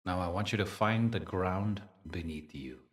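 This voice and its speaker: A man leading a guided meditation as a spiritual teacher, speaking in a soothing, deliberate manner with pauses between phrases. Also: deep voice, distant mic.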